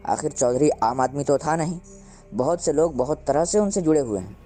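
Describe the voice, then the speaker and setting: A man playing a cautious village cleric in an audio play, measured and admonishing as he gives counsel. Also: a little high, expressive, mellow, faint music behind. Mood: cautious warning. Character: cautious male village cleric giving a warning.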